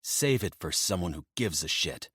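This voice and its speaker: Male reader plays a cold biker, low and clipped. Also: unhurried, a bit bright, dry recording, studio quality. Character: cold quiet male biker, grieving husband.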